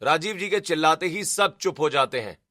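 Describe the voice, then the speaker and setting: A man's voice: on the high side, expressive, a little fast, bright, forceful tone, soft background music. A man reading scripted narration for a family drama cartoon story.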